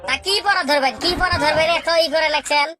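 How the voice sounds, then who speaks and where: Woman playing idle cheeky schoolboy, high pitch, whining back talk, cartoon comedy, background music. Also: fast talker, natural voice. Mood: cheeky, evasive. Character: cheeky, lazy schoolboy shirking his studies.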